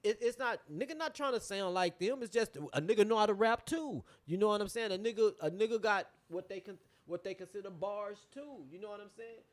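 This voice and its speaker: A man's voice: high-pitched, brisk, hardly any pauses. A man with a Texas drawl talking casually on a podcast, often repeating and restarting his words and trailing off. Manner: animated, repeats himself and restarts, trailing off quietly. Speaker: podcast co-host. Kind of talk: casual chat.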